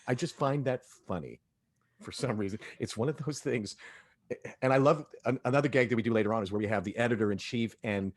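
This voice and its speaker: A man speaking as an audio drama director in a casual cast discussion, telling things in an animated way. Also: mid-range voice, fairly quick.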